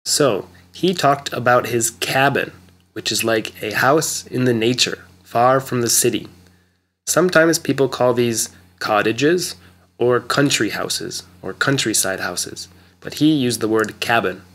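A man narrating an English lesson, explaining words carefully and clearly. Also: medium pitch, a little slow, a bit bright. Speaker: English teacher. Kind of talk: slow scripted lesson narration.

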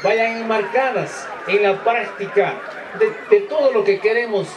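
A middle-aged man gives an official speech in a high voice. It echoes from an outdoor PA over a noisy crowd. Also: unhurried, far from the mic, muffled recording. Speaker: mayor. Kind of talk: public speech. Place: covered outdoor stage. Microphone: handheld microphone.

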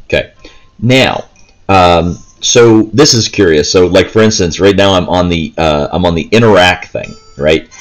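A man with a fairly low voice talks through a video game he is playing. His delivery is casual and halting, as if working things out aloud. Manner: hesitant, halting, thinking aloud. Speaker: video game player and commentator. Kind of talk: casual gameplay commentary, chatty walkthrough. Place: indoors, seated, filming handheld gaming screen.

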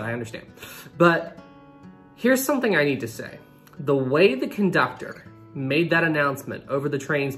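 Chatty young man recounting a trip. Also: medium pitch. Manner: animated, conversational, addresses viewers directly. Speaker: travel vlogger. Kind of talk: storytelling to camera. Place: small room indoors.

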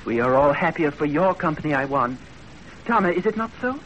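A man's voice: on the high side, animated, a little fast, a bit bright, lo-fi sound, slight background noise. An actor playing a breezy, teasing young Japanese man in a 1930s radio drama, with a playful manner.

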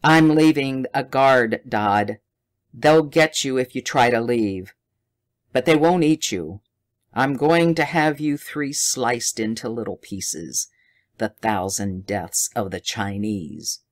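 A reader voicing a mad, cruel villain who speaks slowly and with menace in an audiobook. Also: medium pitch, natural voice. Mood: menacing. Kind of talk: audiobook character voice.

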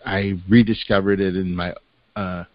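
Older man hosting a podcast, low voice. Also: normal speed, no room sound. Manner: relaxed, full of fillers like "you know" and "uh". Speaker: podcast host. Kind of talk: casual chat. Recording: dry, slightly dull podcast audio.

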